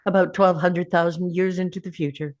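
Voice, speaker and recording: An ancient time traveller, played by a woman at a script table read, pitched low. The character sounds worn by ages yet stays defiant, as in a television science fiction drama. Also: fairly even intonation, fairly quick, natural voice. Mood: musing.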